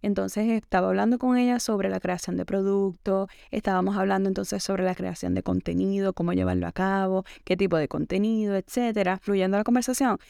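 A woman's voice: medium pitch, fairly even intonation, fairly quick, creaky, few pauses, warm tone, clean tone, no echo, studio quality. A young adult woman hosting a podcast, talking through a reflective self-help monologue in a conversational way, leaning on the filler "entonces" and dropping in English words. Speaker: podcast host.

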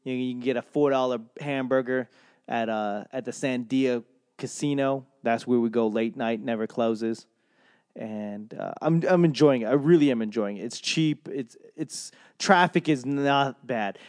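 Young dude ranting, lots of swearing and rambling, dead dry recording. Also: male voice, medium pitch, moderate pace, no echo. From Boston. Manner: profane, rambling, animated rant. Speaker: comedian and podcaster. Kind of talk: casual monologue.

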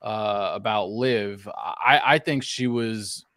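A man in casual sports podcast chat, talking in a halting way and often repeating his words. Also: fairly low pitch, pushed and bright, no echo, clean studio sound. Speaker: wrestling podcast panelist.

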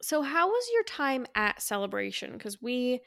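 A woman with a fairly high voice hosts a casual podcast. She talks like an animated storyteller, slipping into quotes of herself and others. The sound is dry and close, with little room in it. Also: moderate pace, gravelly, dry recording. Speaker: podcast host. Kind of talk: casual chatty podcast conversation.

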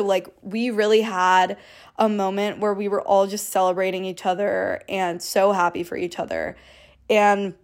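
A young woman in her twenties with a low alto voice, hosting a casual solo podcast, chatty and sprinkling in "like" and swear words. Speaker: podcast host.

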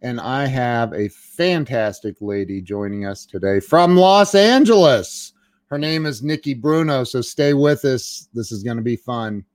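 A man's voice: medium pitch, expressive, dry recording, clean studio sound. A man hosting an interview podcast in a casual, upbeat way, often stopping and restarting his sentences. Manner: upbeat, chatty, with halting restarts. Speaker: podcast host.

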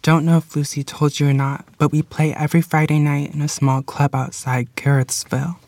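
A woman's voice: deep voice, level tone, fairly quick, a little mellow, no room sound. A woman playing a forward, plainspoken small-town club musician in a period table read. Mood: friendly, persuasive.

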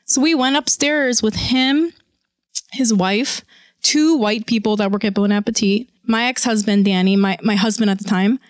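A higher-voiced woman recounting a story on a podcast, swearing freely and slipping into voices for the people she quotes. Her delivery is animated and the sound is dry and close. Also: moderate pace, no room sound. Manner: animated, profane, acts out quotes. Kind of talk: casual storytelling chat.

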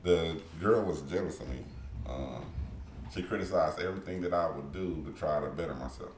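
A man's voice: extremely low pitch, moderate pace, darkish tone, roomy sound, a little hiss or hum. A man co-hosting a casual podcast, speaking hesitantly with restarts and agreeing readily. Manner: hesitant, agreeable, repeats himself. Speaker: podcast co-host.

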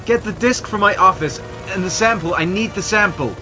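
A man's voice: high-pitched, a little fast, over music, natural voice. A man acting as an insistent scientist doctor in an audio drama. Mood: desperate, insistent. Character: insistent scientist doctor obsessed with saving his research.

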